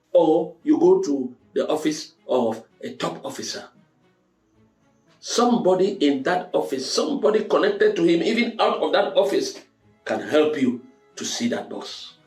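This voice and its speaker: Emphatic, higher-pitched man giving a motivational talk. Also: darkish tone. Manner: preacherly, emphatic, repeats phrases for emphasis. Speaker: host of a motivational talk show. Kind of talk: motivational monologue addressed to the audience. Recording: roomy echo, music underneath.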